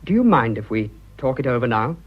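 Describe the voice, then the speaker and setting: An actor playing an earnest, awkward young English businessman in love, halting and self-conscious, in a period radio drama. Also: mid-range voice, big pitch swings, muffled recording. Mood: shy, earnest. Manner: halting, self-conscious, blurting, clipped old-fashioned speech.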